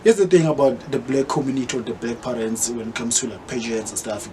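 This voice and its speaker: A man's voice: mid-range voice, quick pace, noisy background. A South African man hosting a casual podcast interview, chiming in often and mixing English with Zulu. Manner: relaxed, chimes in a lot, mixes English with Zulu. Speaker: podcast host.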